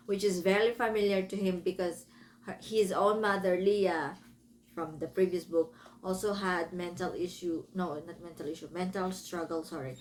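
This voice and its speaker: A woman's voice: mid-range voice, a little hiss or hum, rough recording. A woman from the Philippines with a Filipino accent, speaking English as a second language, gives a casual book review, rambling and correcting herself as she retells a story. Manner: rambling, self-correcting, breaking off into asides.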